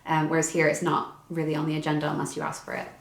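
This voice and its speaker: A young woman talks in a relaxed, rambling vlog, stopping and restarting her sentences with many fillers. Her voice sits low and stays fairly level. The room around her adds a clear echo. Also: quick pace. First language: English. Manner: chatty, lots of fillers and restarts. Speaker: vlogger. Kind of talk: casual chat. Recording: echoey room.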